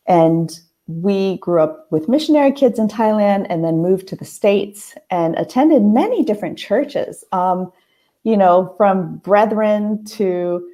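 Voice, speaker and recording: A woman hosting a casual heart-to-heart monologue, a little hoarse, talking thoughtfully with many pauses and restarts. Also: lowish voice, warm tone. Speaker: host. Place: family house, at night.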